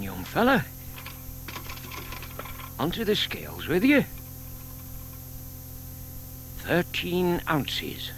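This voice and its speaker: An actor playing a gentle, fond older man in an audio play, murmuring to himself and to animals. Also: mid-range voice, slight background noise, heavily acted. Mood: businesslike, fond. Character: gentle, fond old neighbour man scheming kindly with tortoises. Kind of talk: audio theatre dramatisation.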